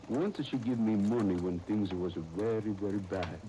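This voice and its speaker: An actor playing a lovable old Italian goldsmith in a 1930s radio soap, speaking halting, broken English humbly and gratefully. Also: male voice, lowish voice, warm tone, lightly acted. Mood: confiding. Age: older. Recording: noisy 1939 radio disc recording.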